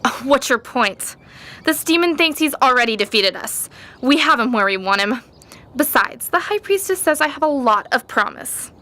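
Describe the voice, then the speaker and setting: Woman as young fantasy heroine, high bright voice, self-assured, proud, earnest, audio drama. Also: expressive, moderate pace. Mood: confident.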